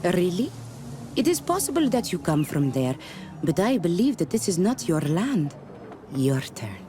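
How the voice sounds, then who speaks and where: An actress playing a playful, cryptic native clan woman in a video game, proud and teasing, with measured, stilted phrasing. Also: fairly low pitch, big pitch swings, noisy background. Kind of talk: video game character acting. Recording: music under the dialogue.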